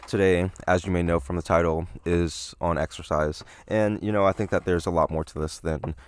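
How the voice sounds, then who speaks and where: A young man hosting a solo podcast, talking casually with a slightly raspy voice, hedging and filling pauses with "you know" and "um". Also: deep voice, fairly even intonation, slight background noise. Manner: rambling, hedging, many fillers. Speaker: podcast host.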